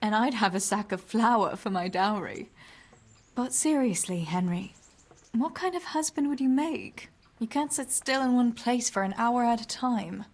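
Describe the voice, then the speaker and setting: Husky young medieval village woman. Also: fairly high pitch, animated, measured pace, a little mellow. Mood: playful scolding. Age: young adult. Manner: teasing, bantering. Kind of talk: naturalistic video game dialogue acting.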